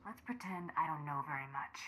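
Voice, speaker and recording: An actress playing a tough, sardonic bounty hunter in a video game, terse and cocky. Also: female voice, low-pitched, a little fast, sharp tone, slight background noise, low-quality recording.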